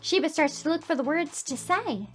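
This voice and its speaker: A woman with a high voice reads a game's story aloud as host. Her delivery is expressive and reactive, moving between narration and commentary over faint music. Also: normal speed. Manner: emotive, reactive. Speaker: gaming video host, reading narration aloud. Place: voice-over on gameplay with game music behind.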